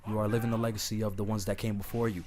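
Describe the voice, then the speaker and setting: Slightly hoarse man chatting over game noise. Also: fairly low pitch, flat delivery, rapid-fire, a little mellow. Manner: informal, conversational, addresses listeners directly. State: slightly hoarse from being sick, often clearing throat. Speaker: host of an online talk series. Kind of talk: casual rambling advice chat over gameplay. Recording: clear background noise, game sounds. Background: video game playing in the background.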